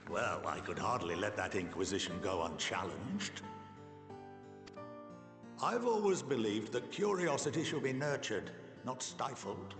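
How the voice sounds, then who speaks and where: An actor playing a genial, wry, avuncular elderly scholar in a video game cutscene, speaking with theatrical warmth. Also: male voice, on the low side, expressive, unhurried. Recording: reverberant hall, music behind. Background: game music.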